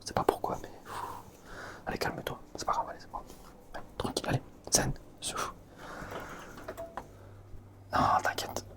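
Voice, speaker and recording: A young man whispering softly through a comic roleplay, slangy and teasing. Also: normal speed, pauses often.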